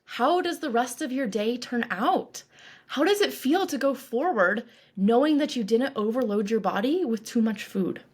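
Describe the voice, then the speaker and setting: A woman coach leading a guided meditation in a calm, reassuring, instructive way, with deliberate phrasing. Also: a little high, husky. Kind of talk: guided meditation, scripted narration.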